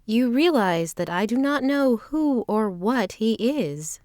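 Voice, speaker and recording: An earnest, self-assured young traveling performer, played by a woman, in a fantasy audio drama. Her voice sits fairly high and moves expressively up and down, recorded dry and close. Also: animated, slow, a little mellow, no room sound.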